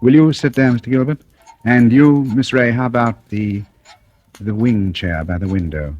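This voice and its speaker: An actor in a radio thriller playing a suave, cunning gentleman, coolly menacing, with measured, formal, ironically polite speech. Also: mid-range voice, dull audio, close to an everyday voice. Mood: cool, probing. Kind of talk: 1940s radio thriller acting. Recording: noisy old radio drama recording.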